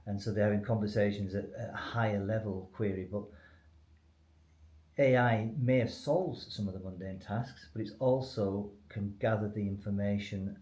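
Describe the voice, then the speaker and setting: A man's voice: fairly low pitch, fairly even intonation, moderate pace, dark tone. A man speaking unscripted as a company spokesman in a business webinar, explaining things in an informal, loosely structured way. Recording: echoey room with faint noise.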